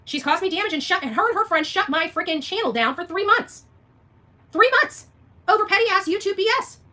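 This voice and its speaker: Female livestream host, high and sharp-voiced, argumentative, emphatic, repetitive, addressing commenters directly. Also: expressive, fairly quick. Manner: combative, emphatic, repeats phrases and rhetorical questions. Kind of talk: unscripted livestream rant, argumentative.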